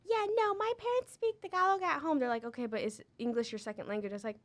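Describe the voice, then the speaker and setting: Young woman, co-host, lively, laughs easily, casual. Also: on the high side. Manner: lively, quick to laugh, heavy use of "like". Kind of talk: casual conversation.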